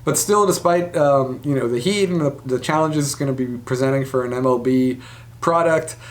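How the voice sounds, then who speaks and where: A man's voice: medium pitch, normal speed. A man hosting a sports show, giving casual, conversational commentary with speculative asides. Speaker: sports podcast host.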